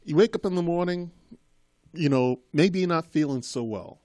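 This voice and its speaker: A man's voice: fairly high pitch, normal speed, darkish tone, no room sound, clean studio sound. A man in his fifties, raised in Boston after being born in Trinidad, telling his life story as a guest in an earnest, rambling way. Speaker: guest, school committee member. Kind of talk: casual interview.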